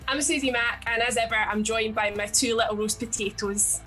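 A young woman hosting a casual podcast chat, giggly and quick to exclaim. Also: a little high, fairly even intonation, bright, forceful tone, faint music behind. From Scotland. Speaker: podcast host.

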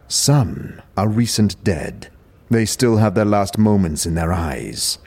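A man playing a solemn, heavy-set dustman with a stony voice and formal, measured speech in a fantasy audio drama. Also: on the low side, expressive, darkish tone, heavily acted. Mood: grave, solemn. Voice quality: gravelly, stony.

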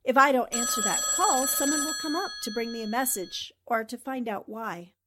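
Woman playing a high-voiced, plucky reporter. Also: normal speed, heavy background noise, close to an everyday voice. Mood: guarded. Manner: defiant, wry. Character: plucky, defiant female newspaper reporter under threat. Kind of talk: radio drama acting in 1940s manner.

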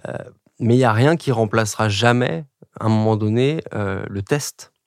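A man's voice: on the low side, moderate pace, smooth, no room sound, studio quality. A French man in his thirties, a podcast guest talking in a reflective, digressive way with many fillers and restarts. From France. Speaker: podcast guest, agency director. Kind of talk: conversational interview.

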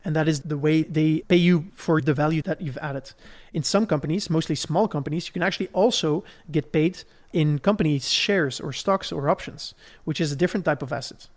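Guy from belgium, voice a bit on the high side, podcast host explaining stuff step by step, conversational. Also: a little fast, hardly any pauses. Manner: didactic, step-by-step, checks in with "right?".

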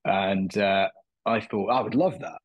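A man from Manchester talks as a guest, relaxed and self-deprecating, pausing on fillers as he goes. His voice sits low and a little husky, and the sound is close and dry. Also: no room sound, dull audio. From Manchester, England. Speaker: guest, documentary maker. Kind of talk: casual interview chat.

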